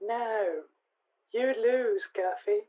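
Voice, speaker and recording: A muffled telephone voice of a laconic customs officer , acted by a woman. The delivery is very slow, terse and matter-of-fact, in vintage radio-play style. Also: fairly high pitch. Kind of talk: 1940s detective radio play acting.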